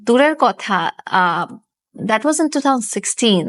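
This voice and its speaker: A woman's voice: on the high side, expressive, quick pace, a bit bright, dry recording. A young woman from Russia, a dancer guest, chatting casually and slipping Bangla phrases into her English. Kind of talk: casual interview.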